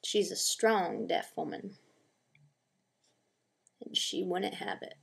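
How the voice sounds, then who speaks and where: A woman playing a bitter, stubborn old grandfather in a dramatic monologue, gruff, plainspoken and resigned. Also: fairly low pitch, sing-song, slow, heavily acted. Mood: aggrieved.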